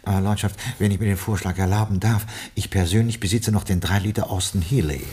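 A loyal manservant in his late forties for a radio play. The voice is deep and heavily husky. He talks with deferential formality and a dry, straight-faced delivery. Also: steady tone, fairly quick, a little mellow. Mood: deferential, discreet. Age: 40s. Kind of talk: German radio play acting and narration.

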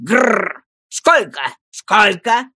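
A woman's voice: mid-range voice, expressive, drawn-out words, pushed and bright, breathy edge, no echo, clean studio sound, heavily acted. A woman voicing a ferocious, drunken old male junk dealer who wails and growls gutturally. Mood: ranting, wailing.

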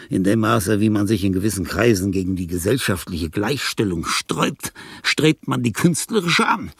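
Husky, grandiose dying old composer. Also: male voice, sits low, dry recording, clean studio sound, full character voice. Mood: resolute, brooding. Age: older. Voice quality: breathy, husky, often falling to a whisper. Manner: theatrical, rambling, with sudden swings from murmur to outburst. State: frail, ailing. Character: dying old famous composer, restless, self-pitying, grandiose, agitated. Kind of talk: radio play monologue.

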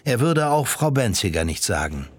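A man's voice: medium pitch, sing-song, normal speed. A man reading scripted narration for an audio drama.

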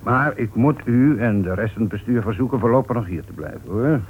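An actor playing a composed, dryly polite police inspector in a radio play, measured and insistent in his questioning. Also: medium pitch, animated, lightly acted. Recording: tinny, noisy old radio play recording.

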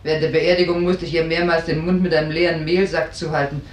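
An actress playing a put-upon household servant, reporting in a complaining, matter-of-fact way in a German radio drama. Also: female voice, deep voice, little pitch movement, fast talker, lightly acted. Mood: exasperated. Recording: echoey, distant, with ambient noise.

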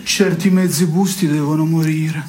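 Deadpan sardonic actor as a man, noisy recording. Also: a little high, normal speed, lightly acted. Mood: sardonic verdicts. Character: sardonic man pronouncing irreverent, absurd verdicts. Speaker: stage actor. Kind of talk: two-person absurdist satirical theatre dialogue.

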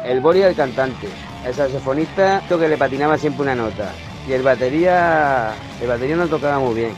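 A brash, crude drummer bursting with rowdy energy, as a man plays him. He races through his telling of the wreckage and swears freely. Clear music plays underneath. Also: mid-range voice, brisk, close to an everyday voice, low-quality recording. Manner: brash, repetitive, swears, calls listener brother. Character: fast-talking rowdy male drummer, crude. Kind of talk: mockumentary radio drama testimony.

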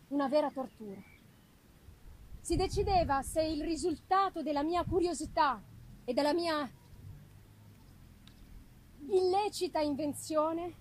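High-pitched woman solemnly reading gothic fiction. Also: low-quality recording. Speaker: reader. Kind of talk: dramatic literary narration of gothic novel. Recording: music behind the reading. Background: live music.

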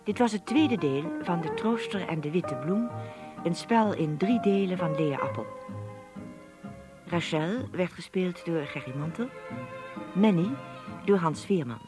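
Casting: female radio drama announcer, scripted credits read over clear background music. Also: fairly low pitch, lively intonation, unhurried, pauses often. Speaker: closing announcer. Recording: loud music under the voice.

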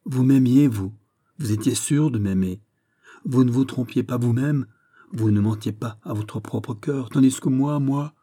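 A man's voice: mid-range voice, measured pace, mellow, pitched above the performer's own voice, lightly acted. A man voicing an anguished, guilt-ridden young woman in love, imploring and impassioned in a dramatic storybook reading. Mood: desperate confession. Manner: impassioned, imploring, breathless exclamations.

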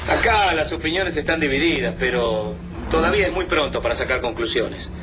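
An actor playing a brisk, authoritative leader of a survivors' refuge in an Argentine radio drama. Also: male voice, fairly high pitch, expressive, natural voice. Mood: measured, authoritative. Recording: noisy radio drama sound bed.

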